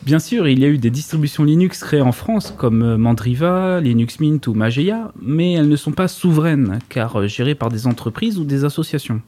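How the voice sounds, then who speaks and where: A man's voice: on the high side, expressive, normal speed, hardly any pauses, mellow. A man reading a prepared tech segment on a radio show, dropping dry, ironic asides.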